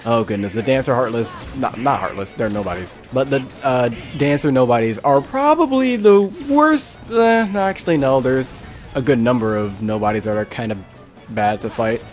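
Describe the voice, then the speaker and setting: A man hosts a casual Let's Play with a mid-pitched voice. He rambles and thinks aloud, close to the mic, with faint game music underneath. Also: expressive, moderate pace. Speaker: gaming video host.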